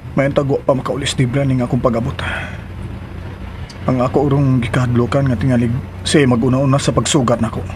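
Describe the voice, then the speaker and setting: A man's voice: medium pitch, level tone, a little fast, lightly acted. Brooding, worried husband for Cebuano-accented radio drama monologue, played by a man; mid-range voice over faint car noise. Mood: worried, resigned. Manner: brooding, musing to himself. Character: worried husband heading home to trouble, brooding. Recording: clear car engine noise behind.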